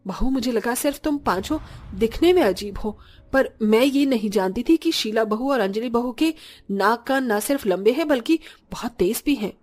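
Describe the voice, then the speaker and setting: A woman's voice: a little high, normal speed, darkish tone, close to an everyday voice. A woman playing a middle-aged village mother-in-law in a moral story, plain-spoken and given to complaining. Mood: mocking, surprised. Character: grumbling short village mother-in-law, slowly softening. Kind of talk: scripted Hindi moral story voice acting.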